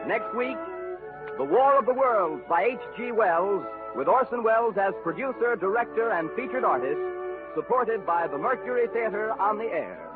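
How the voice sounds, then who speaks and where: Old radio announcer guy, formal scripted delivery, pretty high voice, thin tinny recording. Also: expressive, normal speed. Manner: formal, polished. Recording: tinny 1930s radio, faint music.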